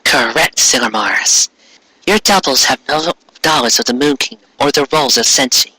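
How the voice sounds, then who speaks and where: A man's voice: mid-range voice, normal speed, pushed and bright, slightly rough, no echo, heavily acted, rough recording. A man voicing the solemn, formal ghost of a moon queen in an anime fan fiction reading.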